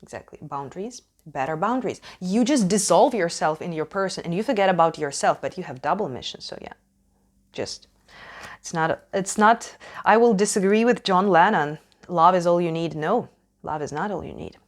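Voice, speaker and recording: Ukrainian woman w russian accent doing a casual tarot reading, kinda low voice, halting but emphatic. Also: animated. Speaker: tarot reader hosting a channel.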